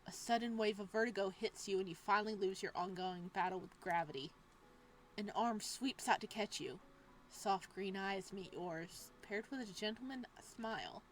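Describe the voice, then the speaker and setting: A young woman at an ordinary middle pitch reads the text of a visual novel aloud. She drops quiet and even for the narration, then jumps into loud, playful side comments. Her talk is casual and jokey, with the odd curse. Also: normal speed, slight background noise. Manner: reads narration softly, breaks into loud animated asides; casual and profane, joking. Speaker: game streamer.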